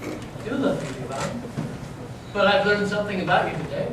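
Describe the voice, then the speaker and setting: A man playing a gentle, devout young monk, sincere and simple in a staged reading. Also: high voice, fairly quick, natural voice. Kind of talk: staged readers theater reading. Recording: echoey room, distant mic, noisy amateur recording.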